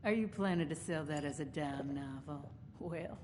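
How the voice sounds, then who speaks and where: An actress playing a proud, shrewd caravan company boss in a video game, with a folksy, sardonic, wordy way of talking. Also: female voice, deep voice, moderate pace, a little mellow, big-room sound, slight background noise, natural voice. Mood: sardonic, indignant. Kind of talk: video game character dialogue.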